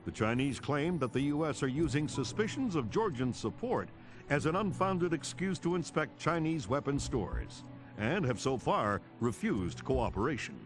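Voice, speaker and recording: An actor playing an authoritative news anchor in a game, reading a formal, grave scripted report. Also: male voice, medium pitch, very animated, normal speed, over music, close to an everyday voice. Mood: urgent, formal. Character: urgent, authoritative male television news anchor.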